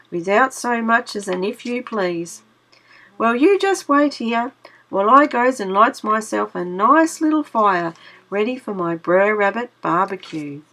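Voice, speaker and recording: A woman's voice: a little high, a bit bright, voice pushed up, rough recording. A woman voicing a sly, gloating male fox with a smug drawl.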